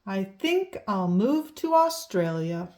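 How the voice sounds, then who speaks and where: A woman's voice: mid-range voice, very animated, slow pace, dark tone. A woman reading a children's picture book aloud expressively, drawing out key words for emphasis. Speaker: storybook reader.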